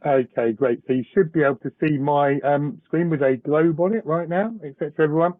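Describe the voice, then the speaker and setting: A man with a slightly croaky voice giving a live demo on a video call, chatty and self-deprecating, with "um" fillers. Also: fairly high pitch, a little fast, no room sound. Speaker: demo presenter. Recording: thin phone-like line, dry.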